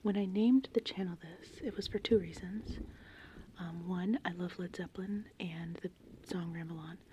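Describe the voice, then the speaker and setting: A woman's voice: on the low side, moderate pace, slight background noise. Whispering woman rambling over rain ambience. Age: 30s. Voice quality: soft breathy whisper. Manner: rambling, informal. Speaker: ASMR channel host. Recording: steady rain and thunderstorm ambience behind. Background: gentle rain and thunder.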